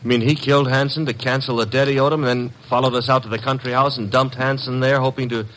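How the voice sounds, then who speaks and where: A man's voice: medium pitch, level tone, quick pace, fairly bright tone, natural voice. Wisecracking young detective's sidekick, acted by a man. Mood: wry, cautious. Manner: breezy, wisecracking. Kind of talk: old-time radio detective drama acting. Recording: noisy vintage radio recording.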